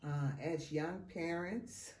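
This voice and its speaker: Slow, earnest Kenyan woman, roomy and distant. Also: low-pitched, fairly even intonation, very noisy. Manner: earnest, unhurried advice with pauses and fillers. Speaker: early childhood guest expert. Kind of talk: podcast interview. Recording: roomy sound from a distant mic.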